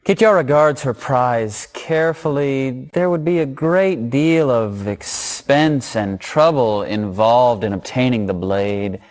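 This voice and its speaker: An actor playing a sly, insinuating trader with courtly, measured speech in a fantasy video game. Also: male voice, mid-range voice, lightly acted. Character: sly, smooth-talking shady trader with a cold grudge. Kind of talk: video game cutscene acting.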